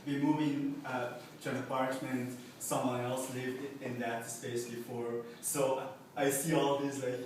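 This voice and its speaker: A man's voice: medium pitch, level tone, a little slow, hardly any pauses, noisy background. A young man whose first language is Farsi, an artist talking about his paintings off the cuff, hesitant, with many filler words and restarts, in an exhibition space. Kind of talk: unscripted gallery talk. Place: art exhibition space indoors. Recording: very echoey room, voice from far off.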